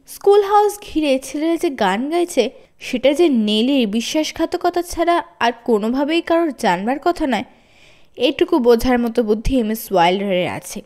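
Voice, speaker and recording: High-pitched, animated woman storyteller. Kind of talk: expressive audiobook narration of a children's story.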